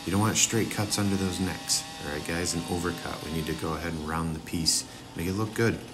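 A man's voice: low voice, fairly quick, over music. A young man casually explaining chainsaw carving cuts step by step, as an instructor. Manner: relaxed, talks viewers through each cut step by step. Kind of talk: casual tutorial voice-over.

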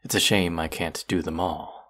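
A man playing a bitter, menacing fantasy villain in an audio roleplay, with a gravelly, husky voice and a sardonic edge. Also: low voice, little pitch movement, fairly bright tone. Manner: menacing, sardonic, slow and deliberate. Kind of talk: dramatic audio roleplay acting addressed to the listener.

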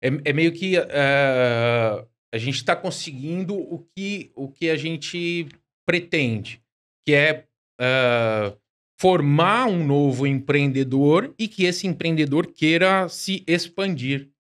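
A man in his forties, a guest on a business podcast in a studio, speaking haltingly with lots of fillers. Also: fairly high pitch, fairly bright tone. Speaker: guest, event founder. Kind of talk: casual interview chat. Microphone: studio mic on boom arm, headphones.

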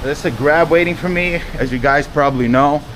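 A man from the Netherlands vlogging his trip and chatting with the driver in a taxi, asking questions and filling his pauses with "uh". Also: fairly high pitch, crowd noise, rough recording. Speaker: travel vlogger. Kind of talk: casual vlog chat. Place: inside a taxi in a city. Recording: loud traffic noise from a car.

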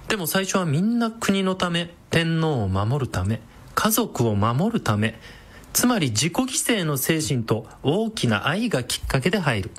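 An actress playing a brash, sardonic villainous woman with a sharp-tongued, theatrical manner in a comedic radio drama. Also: deep voice, sing-song, a little slow, husky, full character voice. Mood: grave, confiding.

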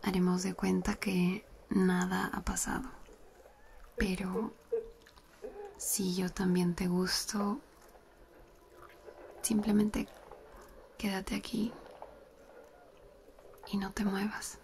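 A woman's voice: mid-range voice, level tone, gravelly, darkish tone, slight background noise. A woman whispering as a flirty cousin. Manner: whispering close and slowly. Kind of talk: ASMR whispered roleplay. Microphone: close binaural mic.

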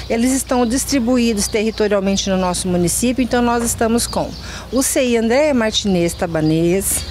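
A woman's voice: on the high side, a little mellow. A middle-aged Brazilian woman, an official giving explanatory answers in an outdoor interview. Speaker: education department director. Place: outdoors under a covered yard. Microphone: reporter's handheld microphone. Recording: faint outdoor background noise.

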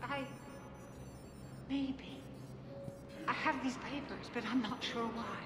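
An actress playing a gentle, forgetful older woman in a video game, confused and trusting, speaking hesitantly and vaguely. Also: fairly high pitch, slow pace, echoey room, music in the background.

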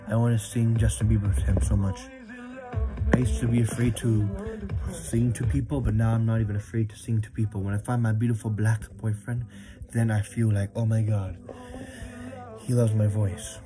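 An amateur singer with a slightly rough voice, chatting casually in an earnest, confiding and repetitive way. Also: medium pitch, level tone, normal speed, over music. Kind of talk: casual chat about singing.

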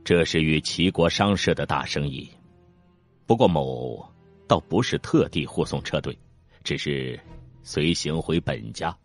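An actor playing a courteous, composed merchant of ancient China, speaking formally and deferentially in an audiobook drama. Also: male voice, a bass voice, unhurried, full character voice. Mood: politely composed. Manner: formal, deferential archaic phrasing. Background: music.

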